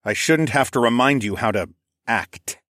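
A man's voice: mid-range voice, expressive, normal speed, a bit bright, no room sound, clean studio sound, pitched above the performer's own voice, natural voice. A man voicing a stern, imperious lord in an audiobook, cold and commanding, with a drawl. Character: stern, imperious lord feigning icy contempt. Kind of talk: acted audiobook dialogue.

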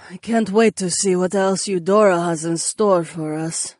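A man voicing a dark-haired young wife, nervous and drily sarcastic. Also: high-pitched, fairly even intonation, slow, breathy edge, no echo, pitched above the performer's own voice, full character voice. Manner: dry, sarcastic.

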